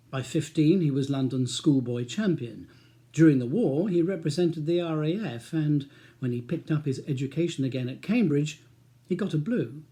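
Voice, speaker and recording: A man's voice: fairly high pitch, mellow. A man reading his own crime novel as a scripted audiobook narration.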